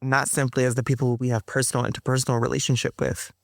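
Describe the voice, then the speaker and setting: A woman who is a poet and interview guest, talking in an emphatic, expressive way. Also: very deep voice, fast talker, vocal fry, no echo, clean studio sound. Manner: emphatic, laughs often while talking. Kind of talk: conversational interview, reciting own poem.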